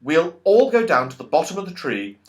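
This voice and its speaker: An excitable young boy from a children's storybook, read by a man. He takes charge eagerly, his slightly lifted voice moving in lively swings. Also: a little high, a little fast, pitched above the performer's own voice. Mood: excited.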